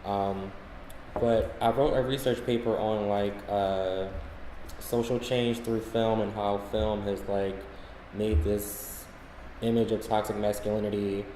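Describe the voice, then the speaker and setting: A man's voice: fairly low pitch, flat delivery, unhurried, warm tone. Guy telling stories on a podcast, chatty, roomy sound, bit of background noise. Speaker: podcast co-host. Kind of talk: casual chat, anecdotal. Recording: echoey room, faint background noise.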